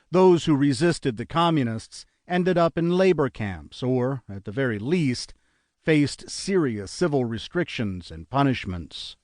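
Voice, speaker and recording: A man narrating an audiobook in a measured, earnest reading style, close to a studio mic. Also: fairly high pitch, dry recording. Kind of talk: scripted narration.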